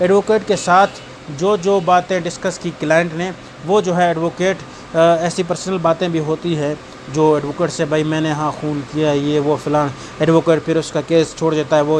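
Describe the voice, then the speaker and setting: Male law tutor, Pakistani accent, fairly high voice, explanatory exam-prep delivery, slight noise behind him. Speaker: law lecturer. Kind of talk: exam-prep lecture, reading out statutes and explaining them.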